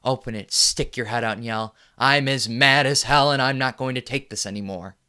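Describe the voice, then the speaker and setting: An actor doing a furious middle-aged TV anchor ranting live on air in a film scene. His low voice is forceful and resonant, pounding out the same lines and swelling into yells. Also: level tone, moderate pace, smooth, close to an everyday voice. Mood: impassioned. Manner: impassioned, repetitive, builds to yelling. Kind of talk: film monologue.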